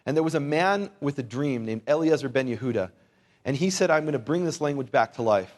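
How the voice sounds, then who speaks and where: A man's voice: medium pitch, animated, a little fast. A man who is a native English speaker, giving an informal Bible lesson to a live audience in a conversational, wryly humorous manner with rhetorical questions. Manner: conversational, wry humour, rhetorical questions, asks listeners to repeat words. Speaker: Bible teacher and lecturer.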